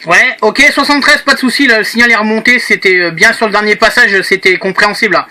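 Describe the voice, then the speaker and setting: A man's voice: sits high, fast, bright, forceful tone. A man chatting casually as an amateur radio operator, friendly and inclined to repeat himself. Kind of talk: casual chat over radio.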